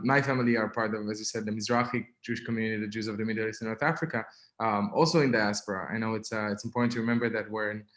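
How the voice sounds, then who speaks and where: Israeli-accented young man, webinar guest, halting delivery full of fillers, echoey room on a video call. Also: mid-range voice. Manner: halting, many fillers and restarts. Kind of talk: personal testimony in a webinar.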